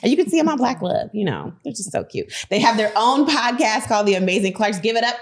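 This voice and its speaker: A woman hosting a casual podcast, bubbly and excitable, laughing often. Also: on the high side, fast. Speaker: podcast host.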